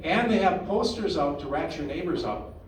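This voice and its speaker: A man's voice: on the high side, animated, normal speed, slight background noise. A man hosting a town hall meeting, talking in a conversational, opinionated way with frequent restarts. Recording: echoey room, far from the mic.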